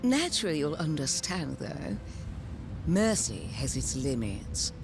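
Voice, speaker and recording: A haughty pirate queen from a video game, silky and menacing. She speaks slowly and formally, with theatrical swoops in pitch, over loud music. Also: sing-song, full character voice. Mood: cool menace. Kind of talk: scripted video game cutscene acting.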